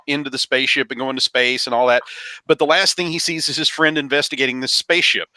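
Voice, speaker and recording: A man's voice: fairly high pitch, bright, forceful tone, dry recording, poor audio. A man in a casual roundtable discussion, telling stories emphatically and repeating his phrases. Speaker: podcast panelist.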